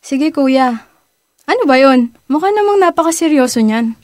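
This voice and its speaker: A woman's voice: sits high, lively intonation, normal speed, darkish tone, no room sound, clean studio sound. A young woman acting a sweet, teasing teenage girl in an amateur radio drama, with a Filipino accent. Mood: curious. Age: young adult.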